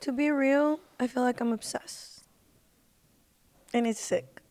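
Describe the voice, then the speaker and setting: A woman with a fairly high voice chats as an interview guest in a close, dry recording. Her talk is informal and slangy, and she repeats phrases to drive them home. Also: slow pace, a little mellow, no room sound. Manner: informal, slangy, emphatic with repetition. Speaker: podcast guest, independent singer. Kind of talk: casual personal storytelling in an interview.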